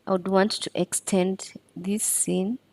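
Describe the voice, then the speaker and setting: Mellow-voiced woman giving a tutorial. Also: medium pitch, little pitch movement, takes it slowly, no echo. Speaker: tutorial presenter. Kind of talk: step-by-step screen tutorial narration.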